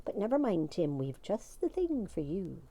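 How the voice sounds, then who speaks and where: A woman voicing the sly little leader of the wee folk, high and lilting with an Irish accent. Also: big pitch swings, normal speed, dark tone. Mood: knowing.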